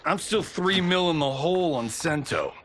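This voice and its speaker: A wisecracking film star in a game cutscene; breezy, animated. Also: male voice, a little high. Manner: joking, breezy, quick. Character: cocky male movie star turned director. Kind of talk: video game cutscene acting.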